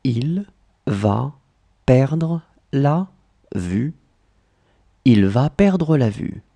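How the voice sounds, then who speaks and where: A language tutor voice reading short phrases in slow dictation, repeating each one and splitting it into chunks. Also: mid-range voice, sing-song, dark tone.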